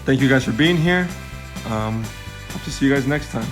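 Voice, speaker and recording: A young man hosting a laid-back podcast, reading each question off a script in a middle-pitched voice. Faint music sits behind him. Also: lively intonation, normal speed. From Mexican-American from West Texas. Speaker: podcast host. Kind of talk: casual podcast chat.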